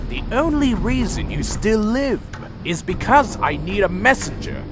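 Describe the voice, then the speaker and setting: A man's voice: sits high, sing-song, a little slow, music in the background. A man playing a proud, brooding vampire clan leader in an audio drama, speaking in a solemn, imperious, theatrical way. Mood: commanding, contemptuous.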